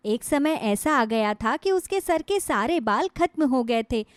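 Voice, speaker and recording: A woman's voice: sits high, expressive, a little slow, dry recording. A woman voicing a devoted, reassuring middle-aged mother in a moral story cartoon, earnest and soothing. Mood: explaining.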